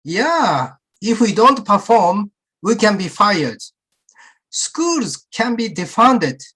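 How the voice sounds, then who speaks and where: Woman playing a slow-talking, worried school teacher. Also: mid-range voice, sing-song, a bit bright, slightly rough, exaggerated voice. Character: worried, rule-minded female school faculty member.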